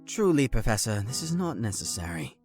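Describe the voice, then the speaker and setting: A man's voice: lowish voice, very animated, brisk, a little mellow, faint music behind. A man playing a stern, guarded monastery official in a comic dub, speaking earnestly in formal, old-fashioned phrases. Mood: formal, composed. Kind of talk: dramatic voice acting for a comic dub.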